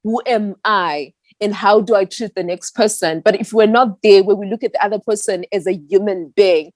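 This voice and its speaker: A woman's voice: mid-range voice, normal speed, darkish tone, no echo. A young woman from Zimbabwe answering an interviewer earnestly and reflectively. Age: young adult. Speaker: activist guest.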